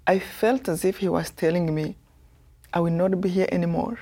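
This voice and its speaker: A woman from Rwanda in her fifties with a Rwandan accent, telling her life story as a guest in an earnest, soft-spoken way. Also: on the low side, fairly even intonation, moderate pace. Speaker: guest, author.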